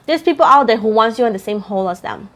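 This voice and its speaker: A woman's voice: fairly high pitch, expressive, quick pace, a bit bright. A woman giving a casual, chatty tarot reading, rambling in a self-deprecating way and repeating phrases for emphasis. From Puerto Rico. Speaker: tarot reader, host.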